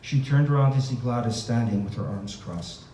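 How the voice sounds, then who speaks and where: A man's voice: mid-range voice, moderate pace, warm tone. Canadian man reading aloud in an echoey room. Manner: hesitant, many fillers and restarts. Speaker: author. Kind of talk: book reading and audience Q&A. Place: bookstore event space. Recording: roomy distant recording with background noise.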